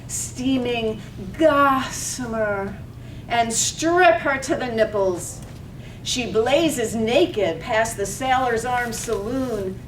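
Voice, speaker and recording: Woman reading poetic radio play narration, high voice, echoey room. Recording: roomy, distant microphone, faint rumbly noise.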